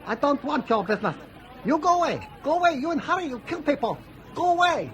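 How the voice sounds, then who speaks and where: A man's voice: extremely high pitch, very animated, fast, fairly bright tone, heavily acted. A man playing a pushy Singapore street tout in a radio drama, speaking broken English in a salesman's patter. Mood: alarmed, hostile. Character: pushy Singapore street tout, wheedling, quick to turn hostile.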